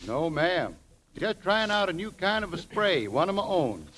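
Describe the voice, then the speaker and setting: An actor playing an easygoing, modest, folksy fellow with a homely manner in an old radio comedy. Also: male voice, high voice, big pitch swings, normal speed, fairly bright tone. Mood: bashful, amiable. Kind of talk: 1930s radio comedy acting. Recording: faint hiss, vintage 1930s radio recording.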